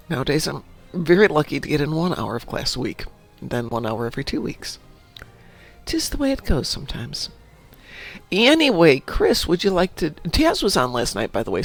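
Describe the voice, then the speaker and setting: Brief: gravelly man with a slightly high pitch, chatty stream host, faint music behind. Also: very animated, moderate pace. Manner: chatty, rambling anecdotes. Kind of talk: casual chat.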